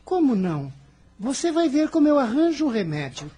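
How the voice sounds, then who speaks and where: Earnest teenage boy played by an adult actor, radio drama, solemn formal diction, soft mellow tone, lively swooping intonation. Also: high-pitched, normal speed, breathy edge, heavily acted. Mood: reassuring, determined. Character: earnest, kind fifteen-year-old boy, humble and protective.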